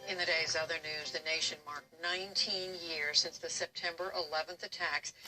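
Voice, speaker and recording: A woman anchoring an evening news broadcast in a formal, measured read. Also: low-pitched, fairly even intonation, bright, forceful tone, soft background music, low-quality recording.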